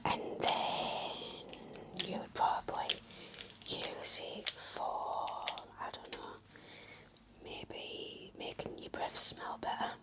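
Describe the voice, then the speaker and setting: A woman whispering in a gentle, soothing, encouraging way as she role-plays an attentive nurse for ASMR. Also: slow pace, poor audio. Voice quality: breathy whisper. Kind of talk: whispered ASMR medical exam roleplay.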